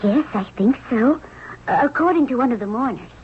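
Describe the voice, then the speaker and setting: An actress playing a gentle, sensible young Egyptian confidante in a 1970s radio drama, earnest, reassuring and theatrical. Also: female voice, on the high side, lively intonation, brisk, narrow, tinny audio, natural voice. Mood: solemn. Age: young adult. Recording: thin, noisy old radio recording.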